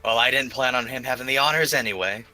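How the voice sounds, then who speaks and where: Man playing a bold, bright-voiced cocky hero. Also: medium pitch, fast, faint music behind. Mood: cocky, respectful. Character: bold, cocky hero duelist, taunting yet respectful.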